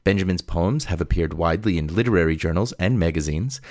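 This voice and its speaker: A man's voice: deep voice, fast, a little mellow, no room sound, clean studio sound. A man guest hosting a podcast, giving a conversational scripted introduction.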